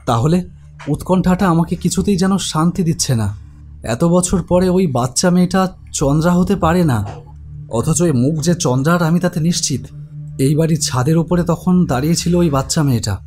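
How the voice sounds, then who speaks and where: Man as an anxious, high-pitched haunted narrator. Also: fairly quick, darkish tone, faint music behind, lightly acted. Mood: agitated, bewildered. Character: anxious haunted grown man telling his horror story. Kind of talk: dramatic first-person horror narration.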